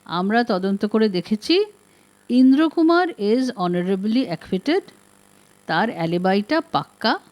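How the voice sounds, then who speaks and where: A woman's voice: medium pitch, very animated, slow pace, a little mellow. A woman reading an audiobook as an earnest younger male detective who speaks politely and explains things respectfully. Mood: earnest reporting.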